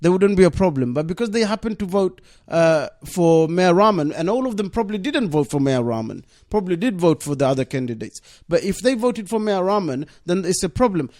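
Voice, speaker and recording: Earnest higher-voiced man, dry studio. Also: very animated, fairly quick, few pauses, darkish tone, dry recording. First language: Bengali. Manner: earnest, leans on "obviously", insistent. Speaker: deputy mayor, studio guest. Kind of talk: radio interview answers. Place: radio studio.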